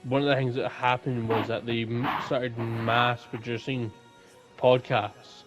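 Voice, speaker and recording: A man's voice: medium pitch, level tone, measured pace, over loud music, low-quality recording. A man chatting casually as a podcast co-host. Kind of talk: casual chat.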